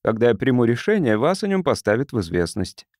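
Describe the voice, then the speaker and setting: A man's voice: medium pitch, very animated, quick pace, darkish tone, no echo, clean studio sound, close to an everyday voice. A man voicing a smug, cold welfare investigator in an audiobook, officious and condescending. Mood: cold, dismissive. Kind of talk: audiobook character dialogue.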